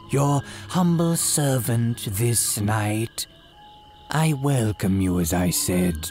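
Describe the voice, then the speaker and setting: Casting: sinister, humble old priest of a haunted cemetery, low and very drawn-out, old-time radio horror style. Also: male voice, a little mellow. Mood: ominous welcome. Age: older. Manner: archaic, solemn, drawn-out dramatic delivery. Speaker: horror storyteller.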